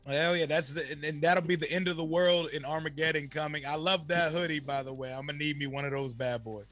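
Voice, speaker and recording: A slightly hoarse man, a guest content creator, talking energetically and casually on a podcast. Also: on the high side, no echo, soft background music. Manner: energetic, slangy, fast-flowing.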